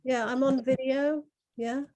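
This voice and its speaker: A middle-aged woman from Kenya talking informally as an artist presenting their work on a video call, explaining in a measured, earnest way. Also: high voice, fairly even intonation, darkish tone. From grew up in Kenya. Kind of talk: informal talk presenting artwork to an online group.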